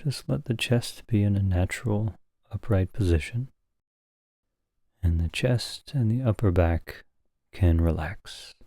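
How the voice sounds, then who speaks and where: A man leading a guided meditation as a teacher, speaking calmly and gently in short phrases with long pauses. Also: fairly low pitch, no echo, clean studio sound.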